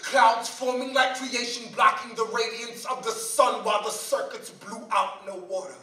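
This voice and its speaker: Male spoken word poet, high bright voice, dramatic delivery, distant live-room recording. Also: steady tone, moderate pace, reverberant. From Haiti. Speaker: slam poet. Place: live school performance space.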